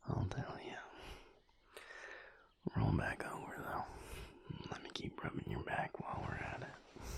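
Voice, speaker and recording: A man playing a laid-back, affectionate vampire lover in an intimate audio roleplay, with a Southern US drawl and a breathy, gravelly murmur, teasing lazily. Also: low-pitched, darkish tone, exaggerated voice. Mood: playful teasing. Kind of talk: intimate audio roleplay, whispered pillow talk.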